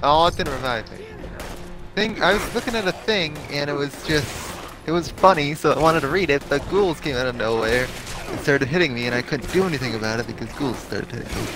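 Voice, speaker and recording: A man's voice: fairly high pitch, lively intonation, fairly quick, over music. A man streaming a game, chatting casually in a playful, rambling way. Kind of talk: casual gaming chat.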